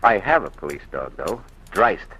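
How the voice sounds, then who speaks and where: An actor playing a calm, courteous, firm blind detective in an old radio drama, speaking in a measured, direct way. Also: male voice, deep voice, breathy edge, exaggerated voice, low-quality recording. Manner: measured, matter-of-fact, direct. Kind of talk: 1940s radio drama acting and first-person narration. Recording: old radio recording with music.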